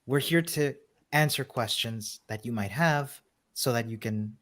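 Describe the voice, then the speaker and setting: A man's voice: medium pitch, a little slow, fairly bright tone. A man co-hosting a livestream, talking in an easygoing, encouraging way with many fillers. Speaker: co-host. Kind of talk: casual livestream chat.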